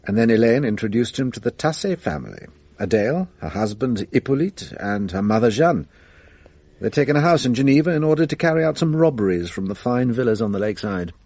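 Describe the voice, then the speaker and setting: An actor playing a shrewd, self-assured French police detective in a radio drama, explaining in a measured, theatrical way. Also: male voice, medium pitch, animated, darkish tone. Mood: grave, explaining.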